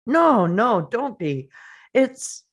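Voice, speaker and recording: A woman's voice: fairly high pitch, sing-song, very slow, breathy edge, exaggerated voice. A woman playing an awkward, polite parent overwhelmed by grief, tentative and trailing off, in a dramatic script reading. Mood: awkward, gentle.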